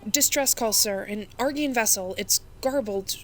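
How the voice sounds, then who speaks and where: A woman playing a starship communications officer crisply reporting to superiors in a sci-fi audio drama. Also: medium pitch, moderate pace, slight background noise, close to an everyday voice. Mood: alert, urgent.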